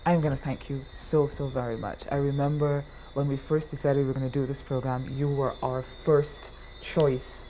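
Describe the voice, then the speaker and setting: A woman radio host interviewing a guest, gently affirming and asking brief questions. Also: extremely low pitch, flat delivery, moderate pace, few pauses, slight background noise.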